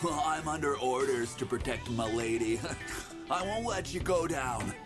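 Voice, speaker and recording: A man's voice: mid-range voice, lively intonation, a little slow, close to an everyday voice. An actor playing a goofy, lovelorn eighteen-year-old wereboar henchman in a comic video game, with hesitant boyish bravado. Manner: hesitant, pleading, juvenile bravado. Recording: game music behind the voice. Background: game music.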